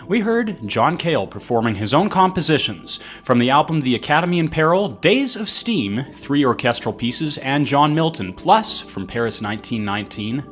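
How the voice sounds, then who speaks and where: A man's voice: mid-range voice, fairly bright tone. A man presenting on radio, reading scripted credits in a formal, precise, orderly delivery.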